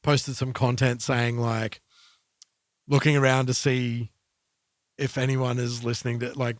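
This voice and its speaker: A man's voice: mid-range voice, flat delivery, measured pace, dry recording. Casual man on a dry mic. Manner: conversational, informal. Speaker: music podcast co-host. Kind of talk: casual music chat.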